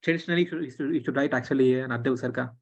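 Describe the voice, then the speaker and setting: A woman, a Telugu speaker, explaining a script in an online class, relaxed and full of fillers and restarts. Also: a bass voice, racing. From Tamil Nadu, India. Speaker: script teacher. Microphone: video call. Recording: dull lo-fi video call audio.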